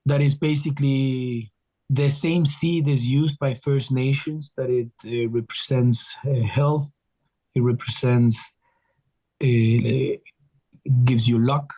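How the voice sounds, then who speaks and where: Mellow-voiced man. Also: medium pitch, fairly even intonation, slow pace, low-quality recording. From Ecuador. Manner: earnest, rambling, with frequent restarts. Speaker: guest musician. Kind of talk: casual interview storytelling.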